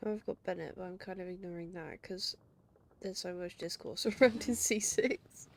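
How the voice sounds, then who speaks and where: A woman's voice: fairly low pitch, moderate pace, a little hiss or hum. A woman livestreaming a game, chatting casually and talking to herself as she plays. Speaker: game streamer. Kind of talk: casual livestream gameplay commentary. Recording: game music behind the voice. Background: game music.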